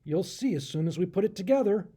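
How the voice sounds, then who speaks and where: A wise and kindly storybook king, read by a man. His voice is mellow and slightly husky, and he gives guidance in a measured, instructive manner. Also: high-pitched, animated. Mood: kindly guiding.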